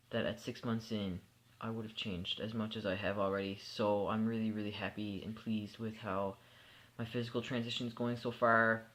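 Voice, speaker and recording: A young man talks to his camera in a loose, candid way, stopping often for ums and restarts. He sounds a bit sleepy and unhurried, and his voice sits at an ordinary middle pitch for a man. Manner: relaxed, candid, with frequent fillers and restarts. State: sleepy at bedtime. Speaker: vlogger. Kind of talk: casual personal vlog.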